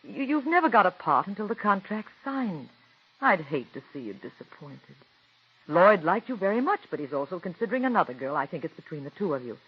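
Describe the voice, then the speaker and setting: An actress in her thirties playing a calm, married playwright's wife who narrates, speaking in a poised, measured way in a 1940s radio drama. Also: on the low side, slightly rough. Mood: gently firm. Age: 30s. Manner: poised and measured, gently firm. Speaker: narrator and lead character. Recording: dull old radio broadcast with faint music.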